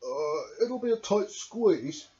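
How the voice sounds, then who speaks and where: High, swooping fretful little badger. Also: male voice, sing-song, slow pace, dark tone, a little hiss or hum. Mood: hesitant agreeing. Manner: fretful, exclaiming. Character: worried, kind young male badger, easily flustered. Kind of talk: picture-book story reading. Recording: dull lo-fi home recording.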